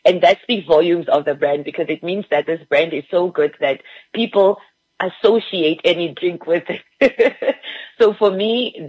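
Enthusiastic breathy woman guest. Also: low-pitched, no room sound, dull audio. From Namibia. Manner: enthusiastic, chatty with fillers.

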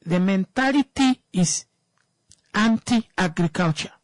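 A woman's voice: mid-range voice, lively intonation, takes it slowly, no echo. A woman, giving an emphatic opinion talk that turns into a personal story, repeating words for stress.

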